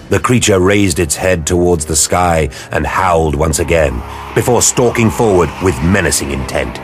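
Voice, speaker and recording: A man performing dramatic scripted narration of a science fiction war story, grave, theatrical and deliberate in his delivery. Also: low voice. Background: dramatic music with battle sound effects.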